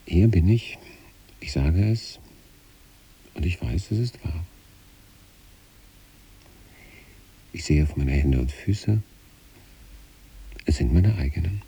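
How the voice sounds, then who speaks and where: A dark, husky, brooding male patient. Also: low voice, animated, a little slow, long pauses, full character voice, poor audio. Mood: brooding, detached. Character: troubled, detached male sanatorium patient writing letters to his wife. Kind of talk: radio play monologue, letters read as inner voice.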